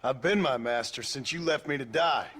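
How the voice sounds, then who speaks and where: A man playing a gruff, stubborn ex-outlaw cowboy, blunt and terse, in a video game cutscene. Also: medium pitch, moderate pace, fairly bright tone, a little hiss or hum, natural voice. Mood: stern.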